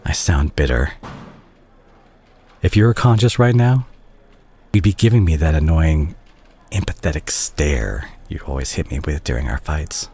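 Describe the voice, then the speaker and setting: An actor playing a remorseful, caring doctor and ex-boyfriend in an intimate audio roleplay, speaking to the listener in a halting, confessional way. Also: male voice, extremely low pitch, darkish tone, breathy edge, full character voice. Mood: bitter, regretful.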